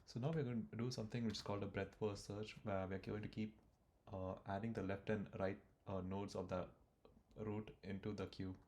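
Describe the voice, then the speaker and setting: Low-voiced man talking through code. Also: little pitch movement, brisk, a little mellow, slight background noise. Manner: thinks aloud while typing, frequent fillers and restarts. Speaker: coding tutor. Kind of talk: screen-recorded coding walkthrough.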